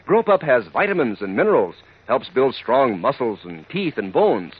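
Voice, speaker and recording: A man's voice: medium pitch, big pitch swings, normal speed, slightly rough. A man reading scripted 1940s radio advertising as an announcer, folksy and upbeat, on a dull old recording.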